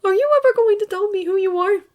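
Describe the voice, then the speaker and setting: A woman voicing a distraught teenage boy, a hero student, crying and shouting through his anger. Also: very high-pitched, fast, pitched above the performer's own voice. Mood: tearful. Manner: tearful, breaking, shouting outbursts.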